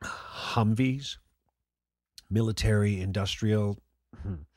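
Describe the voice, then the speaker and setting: A man's voice: lowish voice, takes it slowly, a little mellow, no echo. A man playing a skeptical, sardonic radio call-in host in a radio play, with a dry, challenging manner. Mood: wry, skeptical. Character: skeptical male late-night conspiracy radio call-in host.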